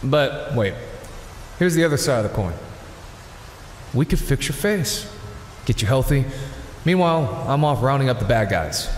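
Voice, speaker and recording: An actor playing an earnest, guilt-ridden older vigilante hero in an audio drama, pleading yet resolute. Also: male voice, medium pitch, lively intonation, normal speed, a little hiss or hum, natural voice. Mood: firm, persuading. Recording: echoey, reverberant hall sound. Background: music.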